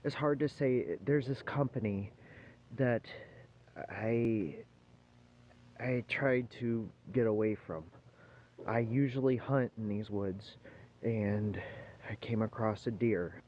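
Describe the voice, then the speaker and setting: Halting infected man on noisy found footage, acted by a man. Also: lowish voice, a little mellow, dull audio. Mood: uneasy. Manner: halting, rambling, repeats himself. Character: uneasy infected ex-company man filming a confession. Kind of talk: found-footage horror monologue. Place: outdoors in woods, walking. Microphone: handheld video camera.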